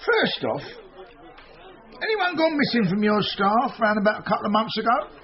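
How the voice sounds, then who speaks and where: An actor playing a grumbling, sardonic, greedy British chief inspector in a radio comedy mystery. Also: male voice, sits high, sing-song, moderate pace, a faint crowd. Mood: grumpy, dismissive. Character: grumpy, greedy, lazy middle-aged police chief inspector. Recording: dull lo-fi radio drama, some noise.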